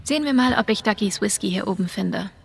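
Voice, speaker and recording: A woman's voice: mid-range voice, expressive, fast talker, gravelly. A young woman playing a friendly, light-hearted bar worker in a video game, in natural acting. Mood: curious.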